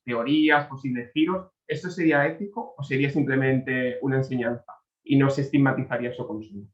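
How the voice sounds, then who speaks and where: A man delivers a measured academic presentation over a video call, often checking in with a tag question. His voice sits in the middle range and carries a noticeable room echo. The audio is slightly dull. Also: mid-range voice. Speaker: conference presenter.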